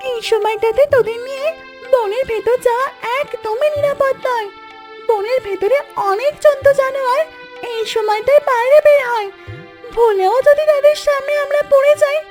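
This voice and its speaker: A woman's voice: very high voice, normal speed, soft background music. A woman voicing a worried, protective cartoon mother duck who frets and scolds her young. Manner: fretful, admonishing.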